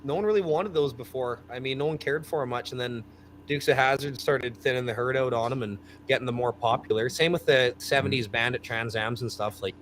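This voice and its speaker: A man's voice: medium pitch, fairly quick, a crowd in the background, poor audio. Enthusiastic man in his thirties. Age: 30s. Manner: rambling, enthusiastic car talk. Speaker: guest. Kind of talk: casual chat.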